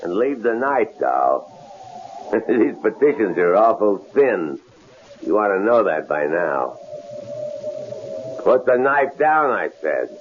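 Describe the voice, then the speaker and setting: An actor playing a sneering, domineering captor in a 1970s radio drama, taunting and commanding in slangy talk. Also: male voice, fairly low pitch, big pitch swings, slow, pauses often. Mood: menacing. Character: menacing male captor, sneering, domineering thug. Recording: dull, hissy old radio broadcast.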